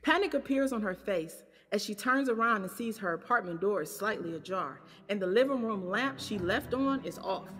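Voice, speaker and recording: A woman's voice: medium pitch, lively intonation, moderate pace, hardly any pauses, fairly bright tone, husky. A woman narrating an audio drama, reading scripted present-tense scene descriptions. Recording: long reverb, music behind. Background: music.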